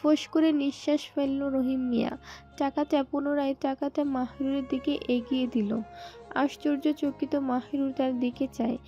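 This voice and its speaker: Female story narrator, high voice, scripted dramatic reading, slightly dull recording, music underneath. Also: flat delivery, normal speed, mellow. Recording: muffled band-limited sound, music bed.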